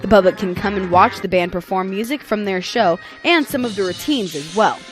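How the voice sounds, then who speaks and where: Music plays clearly behind an American woman reading a local news package. Her mid-pitched voice delivers prepared copy as a reporter. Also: a little fast. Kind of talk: scripted news report narration.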